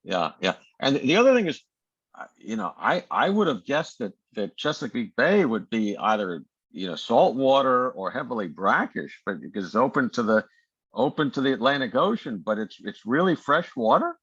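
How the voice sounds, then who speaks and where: A man in his late seventies discussing a medical case informally, halting and repeating words, over muffled call audio. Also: mid-range voice, big pitch swings, a bit bright, breathy edge, no room sound. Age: late 70s. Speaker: senior surgeon giving case comments.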